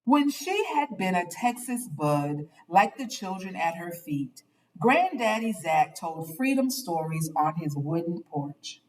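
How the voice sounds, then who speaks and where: A woman reading a children's picture book aloud with expressive, dramatic and deliberate phrasing. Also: lowish voice, distant mic, rough recording.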